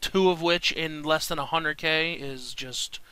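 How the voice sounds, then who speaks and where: A young man talks over his gameplay with a stuffy, congested nose, chatty and quick to get excited. His voice is bright and forceful, with a smooth, crisp tone. The sound is dry and close to the mic. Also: a little high, no echo. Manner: chatty, excitable, swears casually. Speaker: gaming video host. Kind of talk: casual gameplay commentary.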